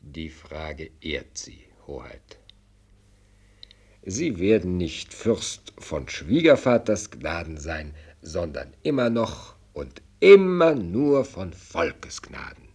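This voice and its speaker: An actor playing a shrewd, blunt minister of state in a German radio play, husky, with formal, rhetorical courtly speech. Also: male voice, deep voice, big pitch swings, slow, a little mellow, full character voice. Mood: solemn.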